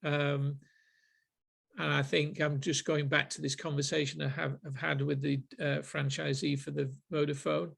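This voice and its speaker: A middle-aged man with a Manchester accent, leading a group sales session in a deliberate, reflective way. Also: on the high side, level tone, creaky, a bit bright, slightly rough. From Manchester, England. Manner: deliberate, with frequent ums and restarts.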